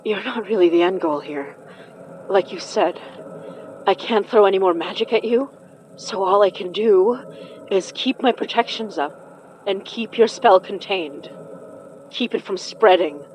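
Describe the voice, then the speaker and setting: An actress playing a stubborn, protective young magic practitioner in an audio drama, earnest and confrontational. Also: female voice, mid-range voice, measured pace, pauses often, a little mellow, slight background noise, lightly acted, low-quality recording. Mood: determined.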